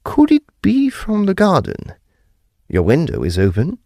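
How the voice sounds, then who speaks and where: A man's voice: a little high, wildly swooping pitch, a little slow, creaky, warm tone, no echo, clean studio sound, full character voice. A male actor as an uneasy, well-mannered son telling a ghost story in an audio drama. His delivery is formal and old-fashioned, with dramatic, wildly varied intonation. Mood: reassuring. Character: polite, uneasy adult son recounting a haunting.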